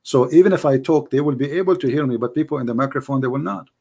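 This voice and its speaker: A man's voice: medium pitch, fast, vocal fry, warm tone, breathy edge. A man whose first language is Arabic, arguing emphatically in a call-in debate, repeating himself, with non-native grammar. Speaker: debate show host.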